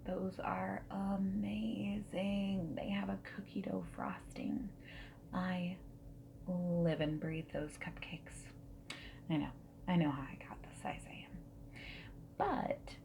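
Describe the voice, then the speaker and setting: A woman doing a friendly, reassuring ASMR roleplay as a dating service consultant, soft-spoken and often whispering. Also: medium pitch, gravelly, slight background noise. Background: mechanical keyboard typing and clicks.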